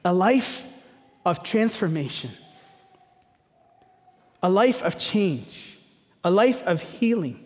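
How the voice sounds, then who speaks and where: A man's voice: high-pitched, sing-song, takes it slowly, pauses often. A man from Egypt preaching a sermon as a pastor, conversational, with emphatic repetition and rhetorical questions. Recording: long hall reverberation.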